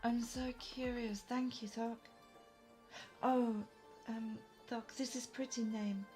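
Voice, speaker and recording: Slow, sweet, eager fantasy guide played by a woman. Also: fairly high pitch, level tone, warm tone, soft background music. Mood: excited, eager. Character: Summer, cheerful gentle female local guide of a fantasy world. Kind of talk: immersive audio roleplay acting.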